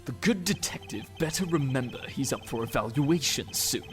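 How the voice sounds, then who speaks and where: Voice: mid-range voice, animated, moderate pace, music in the background, close to an everyday voice. A performer voicing an arrogant young male prosecutor who talks in a haughty, cutting way. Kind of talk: fan dub of a game.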